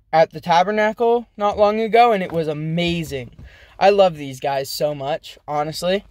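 A young man rambling in a casual vlog from inside a car, halting and self-deprecating, with many restarts. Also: sits high, a little mellow, no echo, clean studio sound. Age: young adult. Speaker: vlogger. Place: inside a parked car, daytime. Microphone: phone camera held close.

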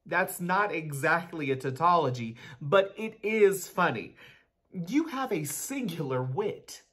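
A man's voice: on the high side, animated, takes it slowly, a bit bright, full character voice. A man in a dramatic book reading, playing an aloof, bookish genius teenage boy with a precise, pedantic delivery. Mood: aloof, dry. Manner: pedantic, theatrical. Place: small bare room at home. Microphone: video call.

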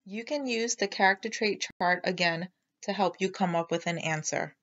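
A woman teacher reading a storybook aloud to children in an expressive, instructive way, on a slightly dull recording. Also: lowish voice, moderate pace. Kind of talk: storybook read-aloud narration.

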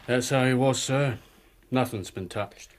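An actor playing a hesitant, deferential local police officer in a radio drama. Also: male voice, medium pitch, measured pace, a little hiss or hum, natural voice. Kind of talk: BBC radio drama acting.

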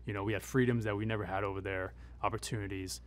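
A middle-aged man speaking as a military advisor in an interview, explaining things in a conversational, measured, matter-of-fact way. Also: on the low side, fairly even intonation, a little hiss or hum. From United States, born in Afghanistan. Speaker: military advisor and former Green Beret.

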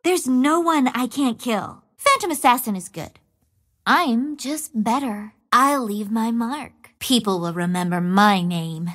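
A woman's voice: fairly high pitch, animated, measured pace, fairly bright tone. An actress playing a cunning young female assassin in a game, taunting her foes with playful menace and relishing every kill. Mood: boastful. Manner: gleeful, teasing, relishes killing. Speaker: video game character. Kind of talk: game voice lines. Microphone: studio mic.

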